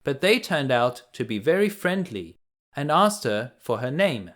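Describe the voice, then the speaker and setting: A man reading a scripted bedtime story aloud in a measured, expressive storyteller's manner. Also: medium pitch.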